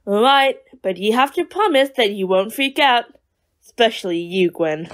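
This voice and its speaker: A woman's voice: on the high side, expressive, a little slow, background noise. A woman playing a feisty, guarded young woman in an audio drama.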